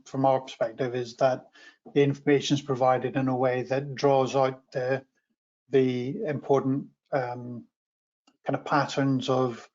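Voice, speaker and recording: A man's voice: medium pitch, darkish tone. A man, a council officer answering questions on a remote meeting call, speaking hesitantly with many ums and restarts. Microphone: video call. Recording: dull lo-fi remote call audio.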